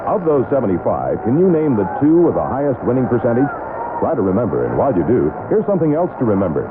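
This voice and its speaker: A man's voice: mid-range voice, wildly swooping pitch, fast talker. A man reading a scripted radio quiz and sponsor spot as an announcer. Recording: tinny, noisy old radio broadcast.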